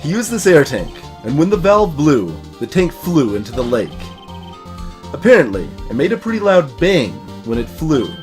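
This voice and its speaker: A let's play host voicing a level-headed young man, a defense lawyer with a measured, wry manner. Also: medium pitch, big pitch swings, a little mellow, soft background music, pitched below the performer's own voice, close to an everyday voice. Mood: explanatory.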